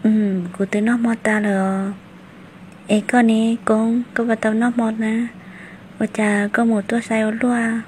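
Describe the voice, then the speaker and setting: A woman's voice: on the high side, level tone, unhurried, darkish tone, a little hiss or hum, lightly acted. A woman voicing a young village woman in a homemade cartoon, talking in a plain, conversational way and recorded on a phone. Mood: hushed. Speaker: voice actor. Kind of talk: amateur cartoon voice acting. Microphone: phone microphone.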